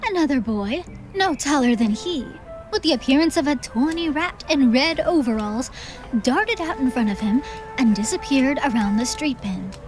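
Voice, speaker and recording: Female audiobook narrator: high-pitched, theatrical fantasy storytelling over light musical backing. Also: lively intonation, normal speed, poor audio. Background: soft music.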